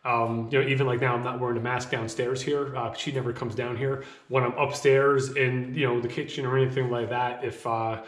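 A man in his thirties talking casually to camera from a small home office, rambling a bit with fillers, his voice slightly hoarse from a cough. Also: medium pitch, level tone, a little fast, few pauses. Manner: conversational, rambling with fillers and restarts. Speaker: vlogger. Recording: echoey room.

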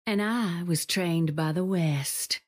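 Slow-talking gunslinger. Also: female voice, on the low side, dry recording, clean studio sound. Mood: cocky. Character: confident, drawling female gunslinger.

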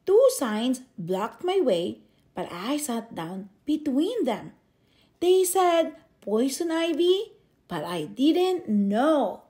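A woman reading a children's picture book aloud expressively, with theatrical, drawn-out emphasis on key words. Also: sits high, big pitch swings, dark tone. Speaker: storybook reader.

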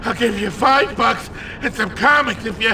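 A man's voice: very high-pitched, normal speed, gravelly, sharp tone, recorded from a distance, a little hiss or hum, exaggerated voice, rough recording. A man playing a whiny, wheezy, indignant comic shop nerd in a video game. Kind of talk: video game cutscene acting.

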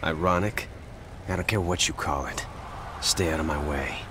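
An actor playing a stern heroic ninja warrior in a video game, speaking tersely and coldly. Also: male voice, extremely low pitch, moderate pace. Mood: cold defiance. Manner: terse, cold. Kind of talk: video game hero acting. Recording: clear background noise, game ambience.

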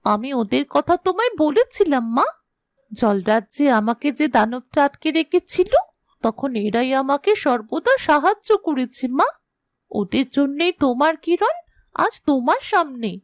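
A woman voicing a sweet, earnest young girl in a fairy-tale cartoon, expressive and affectionate. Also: high-pitched, a little slow, no room sound, studio quality. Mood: pleading. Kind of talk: cartoon voice acting.

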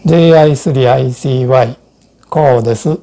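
Man, sixties, instructor, dark mellow tone, scripted narration, measured and explanatory. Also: medium pitch.

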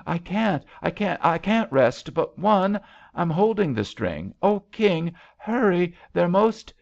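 A man voicing a spirited, excitable young woman swimmer in a story reading, on a muffled recording. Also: mid-range voice, slow, gravelly, pitched above the performer's own voice, heavily acted. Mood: frantic.

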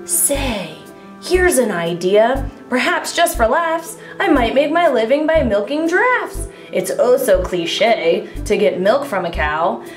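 A woman voicing an excitable, boastful young schoolboy in a storybook, theatrical and eager, declaiming in rhyme. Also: on the high side, slow. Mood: excited, boastful. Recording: echoey room with music underneath.

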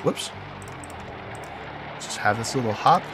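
A man's voice: mid-range voice, lively intonation, takes it slowly, noisy background. A man with a head cold and a nasal, stuffy voice gives casual commentary while building in a game, thinking aloud and correcting himself. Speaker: game video creator.

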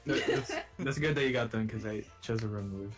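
A man chatting casually over a game in a flustered, halting way. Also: fairly low pitch. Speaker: gamer in group commentary. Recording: clear game music behind the voice. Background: game music.

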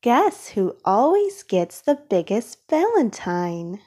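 A woman reading a children's storybook aloud in a careful, expressive way for young listeners. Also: fairly high pitch, takes it slowly, slightly rough. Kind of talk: read-aloud storybook narration.

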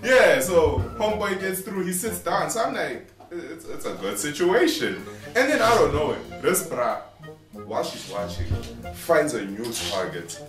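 A man's voice: a little high, big pitch swings, a little slow. A man in his twenties telling a story for a casual vlog in a slangy, jokey, theatrical and repetitive way. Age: 20s. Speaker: vlogger. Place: small indoor room at home. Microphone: camera mic a few steps away. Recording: roomy, distant mic under music. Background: music.

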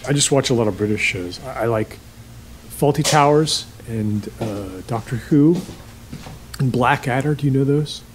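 A man's voice: medium pitch, slight background noise, natural voice, low-quality recording. A man acting as a nervous, stammering guesthouse host. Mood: awkwardly friendly. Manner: hesitant, stammering, halting. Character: awkward, nervous, apologetic male guesthouse host. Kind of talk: naturalistic audio drama acting.

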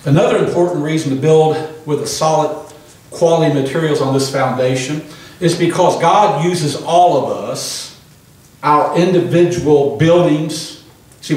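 A man in his sixties preaching a sermon into a handheld microphone in a small church hall, pausing for the congregation's amens. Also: a little high, darkish tone. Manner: emphatic preaching with call-and-response amens. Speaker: pastor. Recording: echoey room from a distant camera.

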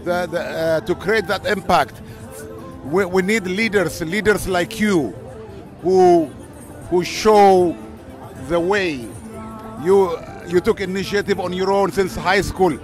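A middle-aged man speaks earnestly and haltingly, often restarting his words. His voice sits high for a man. Light music and crowd noise float behind him outdoors. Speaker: interviewee, host's father. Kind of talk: heartfelt spontaneous speech. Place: outdoor festival grounds at night. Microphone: handheld mic.

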